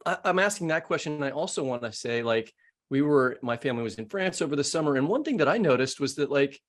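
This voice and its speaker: A man's voice: on the high side, expressive, fast talker. A man hosting a podcast, chatting casually as he puts questions to a guest. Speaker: podcast host. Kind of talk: casual interview talk.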